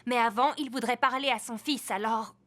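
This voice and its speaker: Woman acting a high-voiced, eager cartoon policewoman. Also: steady tone, normal speed, fairly bright tone, close to an everyday voice. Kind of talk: French cartoon dub.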